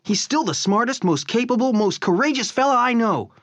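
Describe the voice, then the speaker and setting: An actor playing a fretful, good-hearted office partner, earnest and fussing, in a comic-book drama. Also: male voice, very high voice, lively intonation, a little fast, a bit bright, dull audio. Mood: wistful, loyal. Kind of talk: dramatized comic-book read-along acting.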